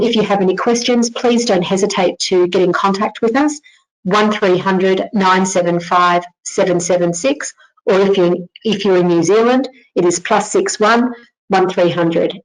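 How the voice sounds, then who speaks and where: A woman giving an informal narrated software tutorial, explaining things step by step as a company presenter. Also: mid-range voice, low-quality recording. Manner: instructional, step-by-step.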